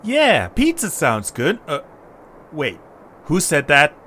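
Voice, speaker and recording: A man voicing a small, cautious, food-loving male creature, earnest and expressive in an amateur audio drama. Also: fairly high pitch, takes it slowly, full character voice. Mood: longing, hungry. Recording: background noise, ambience.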